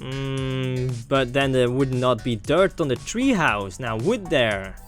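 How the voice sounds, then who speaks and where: A man's voice: mid-range voice, expressive, takes it slowly. A man doing casual let's play commentary on a game, playful and opinionated as he thinks aloud. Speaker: gaming let's player. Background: game music.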